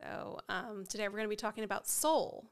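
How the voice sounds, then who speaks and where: A woman co-hosting a casual podcast chat with a head cold, sounding nasal and froggy, chatty and quick to laugh, with many fillers. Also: mid-range voice, clean tone. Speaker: podcast co-host.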